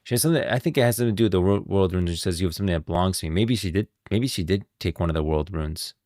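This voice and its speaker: A man's voice: low-pitched, very fast, a little mellow, dry recording, clean studio sound. A man streaming casual game lore commentary, rambling and thinking aloud as he goes, often repeating himself. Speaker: streamer, host.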